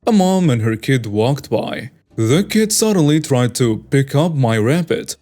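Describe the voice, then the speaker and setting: A man reading online stories aloud in a steady, scripted narration. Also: mid-range voice, a little slow. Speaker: story narrator. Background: faint background music.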